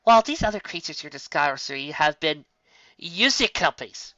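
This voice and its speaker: A bookish young female unicorn, voiced by a woman reading aloud, explains everything with eager precision. The tone is bright and crisp, a touch higher than the reader's own. It sounds slightly muffled and dry, like a lo-fi home recording. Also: big pitch swings, moderate pace, no echo, full character voice. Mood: explaining.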